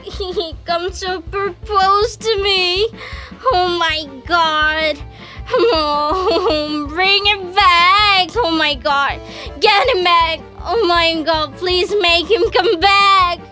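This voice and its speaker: Actress as excitable farce daughter, shrill high pitch, drawn-out slow delivery, melodramatic, quarrelsome, theatrical. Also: female voice, faint music behind, full character voice. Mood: hysterical wailing. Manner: melodramatic, wailing. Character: excitable grown-up daughter, quarrelsome, desperate for a suitor. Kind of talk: theatrical stage farce acting.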